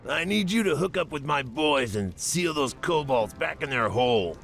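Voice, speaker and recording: Brash goblin engineer, sharp-edged and swooping, slangy wise guy for a fantasy game. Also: fairly high pitch, lively intonation, normal speed, vocal fry, soft background music. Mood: brash, bossy. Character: brash fast-talking male goblin chief engineer.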